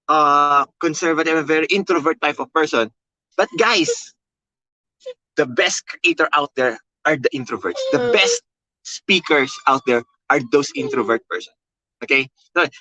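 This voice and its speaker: A man with a Filipino accent and a loud voice, teaching a motivational webinar with emphatic, high energy, repeating key phrases and often checking in with okay and all right. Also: dry recording, poor audio. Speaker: content creation coach.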